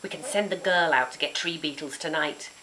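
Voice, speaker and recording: Lady doing a nasty old witch auntie from a kids storybook, bossy n scolding, lowish voice, bit of hiss. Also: fairly quick, bright, forceful tone, low-quality recording. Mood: sly, relishing. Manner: imperious, scolding. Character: menacing old witch aunt, sharp-tempered and bossy.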